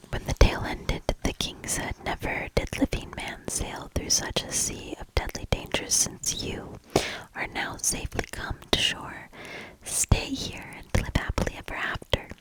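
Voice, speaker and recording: Whispering woman, slow ASMR reading. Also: rough recording. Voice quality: breathy, unvoiced whisper. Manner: slow, gentle, hushed delivery. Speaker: ASMR creator reading a storybook aloud. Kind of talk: whispered ASMR storybook reading and soft casual commentary.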